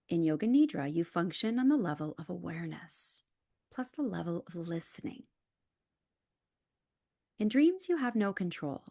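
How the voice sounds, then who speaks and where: A woman leading a guided relaxation as a yoga nidra instructor, calm and measured, with soft, breathy phrase endings. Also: fairly low pitch, dry recording, clean studio sound. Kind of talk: guided meditation, scripted instructions.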